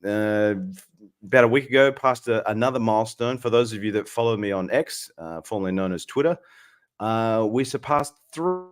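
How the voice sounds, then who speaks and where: An Australian man in his thirties, hoarse from a cold, hosting a chatty livestream with lots of fillers. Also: lowish voice, moderate pace. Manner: friendly, chatty, many fillers. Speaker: host. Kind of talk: casual livestream chat.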